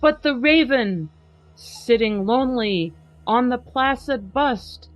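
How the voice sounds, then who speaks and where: A woman podcast host giving a dramatic poetry reading, trading lines with a co-reader in a measured way. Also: a little high, lively intonation, no echo. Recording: slightly muffled with faint music.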